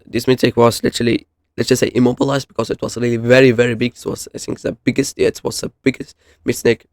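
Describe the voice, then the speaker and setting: Man with creaky voice rambling through casual commentary. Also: mid-range voice, darkish tone, dry recording, studio quality. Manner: halting, rambling, self-correcting. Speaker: YouTube host reviewing horror videos. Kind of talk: casual unscripted explainer commentary.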